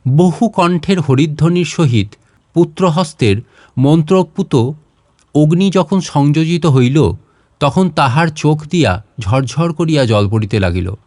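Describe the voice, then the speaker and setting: A man narrates literary prose with dramatic care. His voice sits a little high, and he moves through phrases in a measured, expressive way with pauses between them. The recording is close and dry. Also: dry recording. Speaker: storyteller reading literary fiction.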